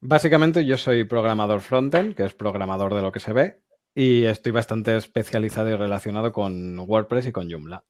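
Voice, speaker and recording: A man's voice: lowish voice, a little fast, no echo, studio quality. A man in his thirties from Barcelona, a guest speaker explaining things in a casual, chatty way on a video call. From Barcelona, Spain. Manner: chatty, explanatory, laughs easily. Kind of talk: casual presentation and chat. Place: home office, indoors. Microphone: video call with earbud headset.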